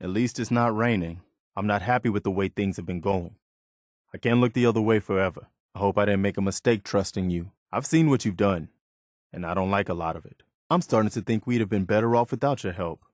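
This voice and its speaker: An actor playing an earnest, loyal, plain-spoken militia man in a video game, sincere and measured. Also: fairly low pitch, darkish tone, dry recording, studio quality, natural voice. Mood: dutiful, easygoing, then disappointed. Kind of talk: naturalistic video game dialogue.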